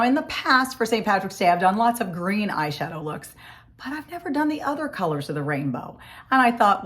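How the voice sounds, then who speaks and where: A woman with a scratchy, hoarse voice from a cold, chatting casually through a makeup tutorial in her home office. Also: mid-range voice, fairly bright tone. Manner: chatty, friendly step-by-step explaining. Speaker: makeup vlogger.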